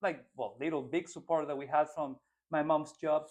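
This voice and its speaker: A man's voice: a little high, fairly even intonation, normal speed. A man in his twenties from Venezuela, a native Spanish speaker with a Venezuelan accent, answering interview questions earnestly with frequent restarts as a political candidate.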